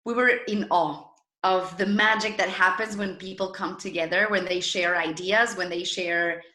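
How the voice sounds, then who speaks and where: Bright, echoey woman, enthusiastic. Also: fairly low pitch, fairly even intonation, normal speed. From Argentina. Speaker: interview guest, startup founder and former journalist. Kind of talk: conversational interview storytelling. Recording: roomy, echoing sound.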